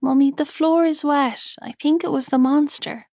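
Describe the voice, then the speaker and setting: A woman's voice: high voice, fairly even intonation, normal speed, a little mellow, no room sound, clean studio sound, pitched above the performer's own voice. A woman voicing a small, frightened young girl who speaks earnestly and insistently.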